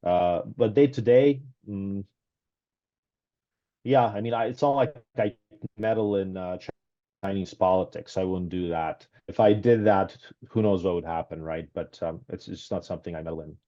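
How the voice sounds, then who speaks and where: A man raised in Canada, speaking as a guest on a video call interview through a headset, talking casually with many pauses and restarts. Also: deep voice, mellow. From Serbia, raised in Canada. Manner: hesitant, many ums and restarts. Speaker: guest, author. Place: small room at home. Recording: dull lo-fi video call audio.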